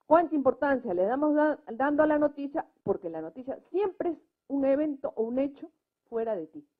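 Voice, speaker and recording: A woman's voice: sits high, animated, brisk. A woman speaking spontaneously as a panelist in a debate, making her points emphatically and often checking in with short tag questions. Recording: muffled, narrow-band live recording.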